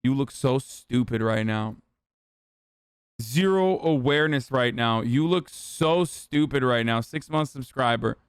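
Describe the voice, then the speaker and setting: A man's voice: mid-range voice, a little slow, clear, resonant tone, no room sound, clean studio sound. A young man from Turkey doing casual livestream commentary, ranting loosely and swearing for emphasis. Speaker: streamer reacting to a video.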